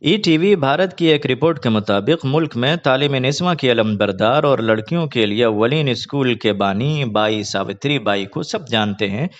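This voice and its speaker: A man reads scripted news in a formal, measured announcer manner. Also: medium pitch. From India. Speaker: news presenter. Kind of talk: scripted news reading in formal Urdu register. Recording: dull lo-fi online video audio.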